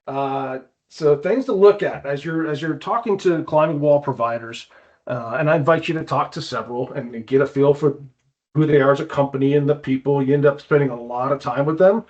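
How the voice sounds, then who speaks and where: A man's voice: on the high side, brisk, darkish tone. A man giving an informal explanatory presentation in a conversational way, on slightly dull lo-fi audio.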